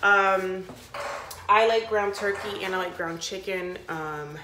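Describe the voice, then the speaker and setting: A woman's voice: mid-range voice, slow, noisy background. A woman in her thirties hosting a casual cook-along vlog, talking informally and giving instructions in her home kitchen. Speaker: host of a home cooking vlog. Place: home kitchen and living room, indoors.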